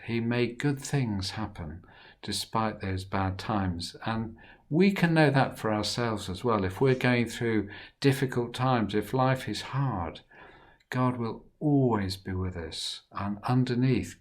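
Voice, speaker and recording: A man reading a Bible story to children expressively and dramatically, moving between hushed and emphatic delivery. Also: fairly low pitch.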